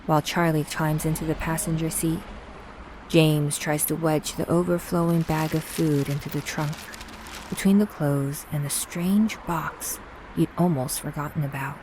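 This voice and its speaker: A woman narrating a scripted audio drama in a measured, suspenseful storytelling manner. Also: sits low, fairly even intonation, darkish tone, slight background noise, low-quality recording.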